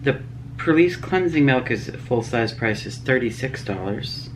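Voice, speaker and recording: A woman with a strikingly deep voice talks casually through a beauty subscription box. She is a bit sniffly, reading out labels and prices in a chatty way. Steady background noise sits under her voice. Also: unhurried, poor audio. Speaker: beauty vlogger. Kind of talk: casual unboxing chat.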